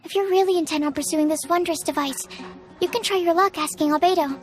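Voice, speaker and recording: A woman's voice: extremely high pitch, quick pace, faint music behind. A woman voicing a timid, earnest young female alchemist in an anime-style game, hesitant and apologetic. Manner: hesitant, softly explanatory, apologetic.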